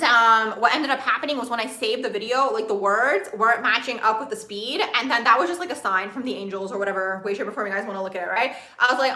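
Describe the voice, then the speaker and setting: A young woman hosting a casual astrology livestream, talking very fast and bubbly and filling her sentences with "like" as she answers viewer comments. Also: on the high side, pushed and bright, echoey room. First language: another language first, grew up speaking English from age four. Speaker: astrology channel host.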